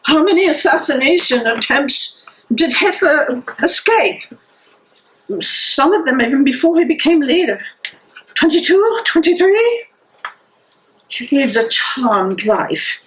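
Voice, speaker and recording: A woman playing a despairing, weary dissident in a dramatic stage reading, delivering the lines in a deliberate, halting way. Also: sits high, big pitch swings, fairly bright tone, a little hiss or hum, heavily acted, rough recording. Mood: bitter, despairing. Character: despairing, weary dissident haunting a captured comrade.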